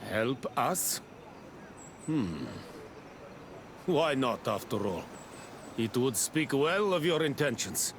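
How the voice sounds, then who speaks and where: A reserved villager in a video game who is wary of foreigners. He talks slowly and deliberately at an ordinary male pitch, with clear ambient noise around the voice. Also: big pitch swings. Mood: grudging. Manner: measured, deliberate, formal. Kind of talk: video game cutscene acting.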